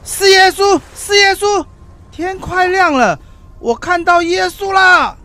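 A man playing a very high-voiced fervent young-hearted disciple. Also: slow, bright, forceful tone, heavily acted. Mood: elated. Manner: exclamatory, earnest, declamatory. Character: Thomas, awed fervent male fisherman disciple of Jesus. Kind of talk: Chinese-language biblical radio drama acting.

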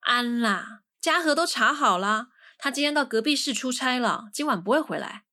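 Excitable, impatient young woman, acted by a woman, high-pitched. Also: normal speed. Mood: impatient, hushed. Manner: brash, expressive, colloquial. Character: excitable, impatient young female amateur sleuth. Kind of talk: audio drama acting.

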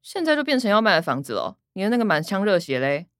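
A woman's voice: mid-range voice, big pitch swings, fast, a bit bright, dry recording, studio quality. A woman playing an exasperated, nagging elder sister with a Taiwanese accent in a radio drama, curt and reproachful.

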